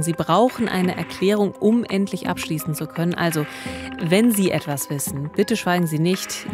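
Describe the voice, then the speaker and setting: A woman in her forties with a standard German accent, co-hosting a true-crime podcast on a close studio microphone. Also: fairly low pitch. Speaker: podcast co-host. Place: small indoor recording studio. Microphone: close studio microphone on boom arm. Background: music.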